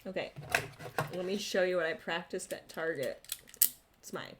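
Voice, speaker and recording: A woman, chatting casually to her viewers while unboxing a restock. She giggles often, and her voice is gravelly from being sick with the flu. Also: lowish voice, normal speed, very noisy. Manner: bubbly, giggly, talks to viewers. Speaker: vlogger and English teacher. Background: crinkling packaging.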